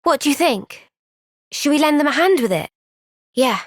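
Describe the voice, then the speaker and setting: A woman playing an earnest, gentle young soldier heroine in a fantasy video game, soft-spoken, sincere and thoughtful. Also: high voice, animated, normal speed, no room sound, clean studio sound. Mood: sincere, steady. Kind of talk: video game cutscene voice acting.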